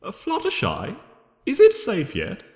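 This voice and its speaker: A man's voice: high-pitched, wildly swooping pitch, a little slow, creaky, voice pushed up, exaggerated voice. A man voicing a flippant, theatrical male chaos creature from a cartoon, glib and playful, in a storybook reading. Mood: sheepish. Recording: long reverb.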